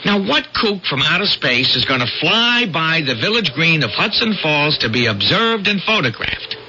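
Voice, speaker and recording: Flustered, stammering young reporter, bright and animated. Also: male voice, a little high, normal speed, heavily acted. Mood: exasperated. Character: harried young male small-town reporter, eager schemer, exasperated. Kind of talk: old-time radio drama acting. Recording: noisy muffled vintage radio broadcast.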